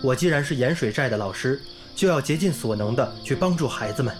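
A man's voice: mid-range voice, expressive, brisk, close to an everyday voice. Earnest young male schoolteacher played by an actor, music behind. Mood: earnest, resolute. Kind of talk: radio drama acting.

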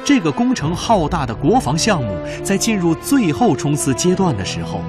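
A man's voice: fairly high pitch, wildly swooping pitch, normal speed. A man delivering solemn, declamatory scripted narration for a radio drama. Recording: music under the narration.

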